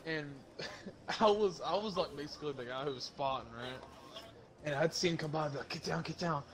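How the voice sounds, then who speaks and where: A young man casually telling stories to friends while gaming, slangy and animated as he acts out the dialogue. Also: fairly high pitch, brisk. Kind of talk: casual storytelling among friends during online game.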